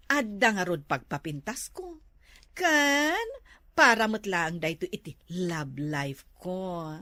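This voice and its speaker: A woman's voice: mid-range voice, a bit bright, no echo. A woman with an Ilocano accent acting a vain, giggly younger village beautician in a comedy skit. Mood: proud, giggly.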